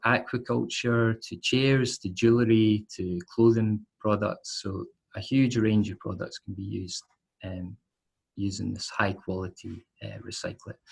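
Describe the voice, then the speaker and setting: A man presenting a project on a webinar call, listing points in a halting way with fillers. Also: fairly low pitch, gravelly, breathy edge. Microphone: video call.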